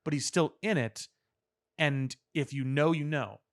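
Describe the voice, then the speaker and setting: A man co-hosting a podcast, talking pop culture casually in a measured, explanatory way with dry understatement. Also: fairly high pitch. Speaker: podcast co-host. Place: podcast studio.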